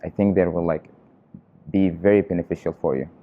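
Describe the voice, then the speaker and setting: A young man from Qatar, a research trainee, answering interview questions in an earnest, halting way with frequent pauses. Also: low voice, steady tone, narrow, tinny audio. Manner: earnest, halting, often pausing on fillers.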